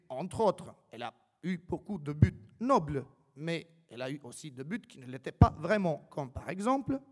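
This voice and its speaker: Guy from albania doing a public talk, slightly high voice, ironic, lots of echo from a big hall. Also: a little mellow. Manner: wry, ironic humour; rhetorical questions and emphatic repetition. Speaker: invited speaker. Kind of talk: public talk, semi-improvised. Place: reverberant hall. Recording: long hall reverberation.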